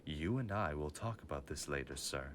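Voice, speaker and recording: A man's voice: a bass voice, expressive, moderate pace, a bit bright, a little hiss or hum. A man playing a stern, commanding mafia father in an audio drama, speaking with formal, clipped authority. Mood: stern, suspicious.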